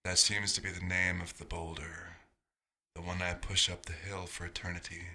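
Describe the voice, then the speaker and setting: Low, husky, unhurried vampire played by a man. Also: flat delivery, pushed and bright, heavily acted. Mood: weary, resigned. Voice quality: husky, breathy. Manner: slow, musing, halting pauses. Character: centuries-old male vampire doctor, wistful, dryly wry, fatherly. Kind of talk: soft-spoken ASMR roleplay monologue.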